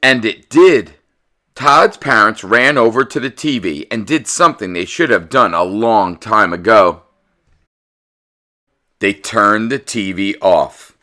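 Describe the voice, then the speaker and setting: A man narrating a children's picture book as a read-aloud host, theatrical and deliberate in his storytelling. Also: on the low side, bright, forceful tone.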